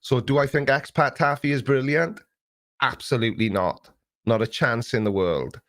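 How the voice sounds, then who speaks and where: A man's voice: mid-range voice, normal speed. A middle-aged man hosting a live Q&A stream, chatting casually in a rambling, sarcastic, self-mocking way.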